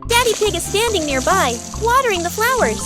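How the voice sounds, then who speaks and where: A woman's voice: very high-pitched, big pitch swings, fairly quick, loud music behind the voice. A woman reading scripted children's story narration in short, simple storybook sentences.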